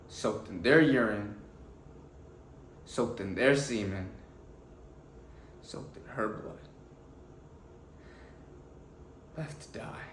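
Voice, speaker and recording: An actor playing an earnest, anguished defense lawyer pleading to a jury, delivering a deliberate, emotional courtroom monologue with weighted pauses. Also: male voice, mid-range voice, fairly bright tone. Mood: anguished, pained. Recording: echoey room with faint background noise.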